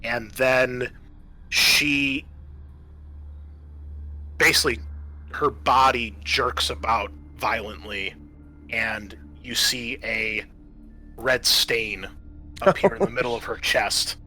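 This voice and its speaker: A man running a tabletop roleplaying game as game master, narrating in halting, pieced-together phrases. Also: mid-range voice, fairly even intonation, sharp tone, soft background music.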